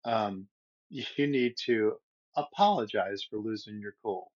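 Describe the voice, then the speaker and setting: A man's voice: lowish voice, takes it slowly, dull audio. A middle-aged man from Brooklyn with a faint New York accent, co-hosting a casual podcast and tossing in dry jokes. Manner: dry joking asides. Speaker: podcast co-host. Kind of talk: casual conversation.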